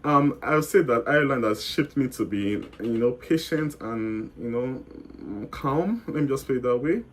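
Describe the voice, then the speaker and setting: A man's voice: mid-range voice, lively intonation, unhurried, a little hiss or hum. Nigerian man talking in loose rambles. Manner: rambling, repeats words and self-corrects. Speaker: guest. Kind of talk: casual interview chat.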